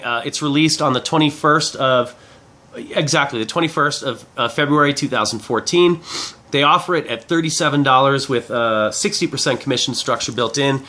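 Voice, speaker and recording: A man in his forties with a head cold, giving a casual, rambling video pitch as an online product reviewer, informally repeating and rephrasing himself. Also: bright, forceful tone. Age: 40s. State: head cold, clearing throat. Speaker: affiliate marketing reviewer.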